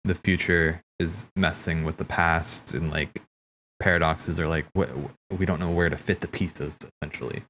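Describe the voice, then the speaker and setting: A man streaming casual, rambling commentary on game cutscenes, thinking aloud in a halting way and repeating himself. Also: rumbling low, fairly even intonation, pushed and bright, a little hiss or hum.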